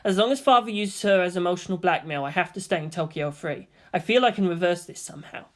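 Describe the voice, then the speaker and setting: A woman voicing a serious, reserved and quietly calculating teenage boy in a fan fiction reading. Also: fairly low pitch, fast, close to an everyday voice.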